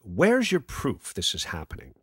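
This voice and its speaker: Sardonic low-voiced male radio host, acted by a man. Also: wildly swooping pitch, normal speed, fairly bright tone, breathy edge, no room sound, exaggerated voice. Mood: dry, dismissive. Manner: sardonic, dry, challenging. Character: skeptical male late-night conspiracy radio call-in host. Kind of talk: radio play call-in show banter.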